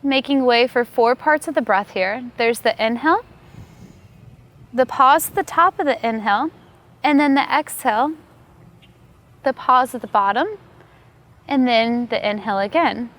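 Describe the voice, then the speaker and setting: Yoga teacher lady w a pretty high voice, calm n soothing, talking you thru a meditation. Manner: calm, soothing, unhurried instructing. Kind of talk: guided meditation instruction.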